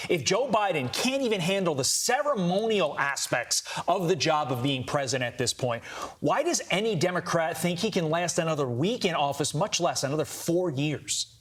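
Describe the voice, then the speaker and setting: Man, American accent, fairly high voice, opinionated TV news interviewing. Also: animated, moderate pace, a bit bright. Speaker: news show co-host.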